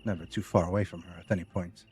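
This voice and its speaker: Very deep-voiced male storyteller. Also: fairly even intonation, quick pace, darkish tone, slightly rough. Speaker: narrator. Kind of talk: scripted audio drama storytelling narration. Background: music.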